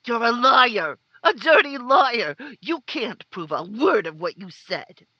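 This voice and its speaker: Hard-boiled matron villain played by a woman, 1950s radio crime style: indignant, combative, piercing, animated delivery. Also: on the high side, slow pace, no echo, muffled recording, exaggerated voice. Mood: angry, defiant. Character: hard, defiant older woman, secret crime-ring boss.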